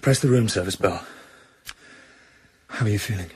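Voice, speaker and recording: A man's voice: on the low side, normal speed, a little mellow, husky. An actor with a British accent playing a cool, wry male secret agent in a radio drama, speaking in a dry, clipped way. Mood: composed, reassuring.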